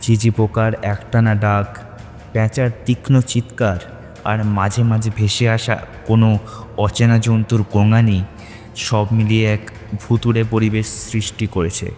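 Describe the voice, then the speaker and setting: A man's voice: on the low side, little pitch movement, unhurried, darkish tone, in a large hall, faint music behind. A man narrating a scripted horror story in a dramatic, suspenseful manner.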